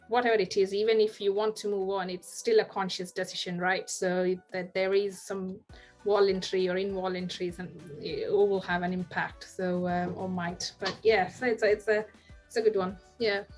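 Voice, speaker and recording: A woman in her thirties speaks as a guest over a video call from home. Her pitch stays level and even, and she talks earnestly, often restarting sentences as she hunts for words. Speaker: podcast guest. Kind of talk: casual video-call interview. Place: home room.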